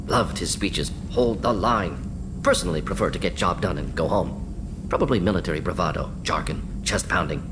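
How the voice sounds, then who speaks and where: Clipped, animated, deep-voiced alien scientist. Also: male voice, noisy background. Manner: clipped telegraphic sentences, rapid-fire, analytical. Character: brisk, eager alien scientist, male, ex-covert operative. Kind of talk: video game character dialogue. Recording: game audio over humming ambience.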